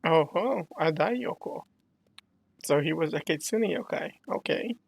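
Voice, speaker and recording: A man's voice: a little high, animated, unhurried, creaky, slightly rough. A man giving brief, casual, murmured reactions while commentating on a video game playthrough. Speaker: gamer reacting to game dialogue.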